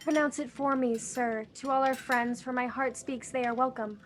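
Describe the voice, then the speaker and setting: A gentle, composed queen played by a young woman speaks Shakespearean verse. Her voice is high and measured, with faint noise behind it. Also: fairly even intonation, lightly acted. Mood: gracious. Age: young adult. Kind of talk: Shakespearean verse drama acting.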